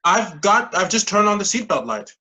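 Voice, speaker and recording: A man's voice: high voice, very animated, moderate pace, pushed and bright, heavily acted. An actor in a staged play reading, playing an officious, upbeat male leader who addresses his group like a pilot making formal, firm announcements.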